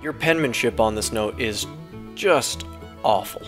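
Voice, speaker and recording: A man's voice: medium pitch, animated, measured pace, soft background music, natural voice. A man voicing a stern, exasperated older-sister farm pony, lecturing in a parody cartoon.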